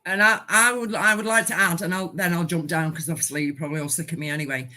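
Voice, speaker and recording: A woman from the UK calling in by phone to a live panel, making an emphatic point and often repeating herself as her pace speeds up and slows down. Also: fairly low pitch, steady tone, bright, forceful tone. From United Kingdom. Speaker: caller on a live audio panel. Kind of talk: impassioned call-in comment. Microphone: phone call.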